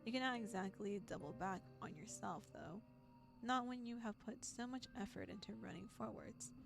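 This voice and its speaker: A woman reading as the theatrical narrator of a horror visual novel, deliberate and foreboding. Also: mid-range voice, gravelly. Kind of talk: dramatic second-person storytelling. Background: music.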